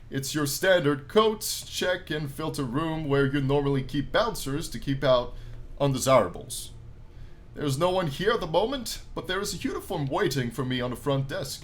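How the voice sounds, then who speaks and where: A man in his twenties hosting a game playthrough, reading story text aloud with theatrical, drawn-out delivery and casual asides. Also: a little high, fairly bright tone. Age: 20s. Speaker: gaming channel host.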